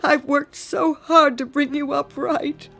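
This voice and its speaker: A woman's voice: sits high, fairly even intonation, slow pace, creaky, pushed and bright, soft background music, heavily acted. An actress playing an anxious, smothering older widowed mother in a radio drama, fretful, tearful and nagging, with self-pity. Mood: tearful reproach.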